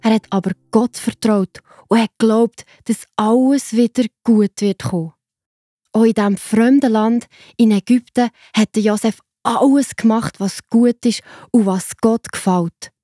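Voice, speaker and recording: A woman with a Swiss German accent narrating a story for children in a simple, expressive way. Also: on the high side, unhurried, dry recording, studio quality.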